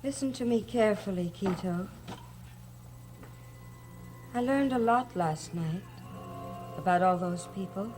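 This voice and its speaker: An actress as a slow, theatrical, scheming grand lady. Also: mid-range voice, lightly acted, poor audio. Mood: conspiratorial, commanding. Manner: theatrical, deliberate, sing-song. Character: giddy scheming woman, possessive, grandly commanding her mute servant. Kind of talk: gothic TV soap opera acting. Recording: old soap soundtrack with music underneath.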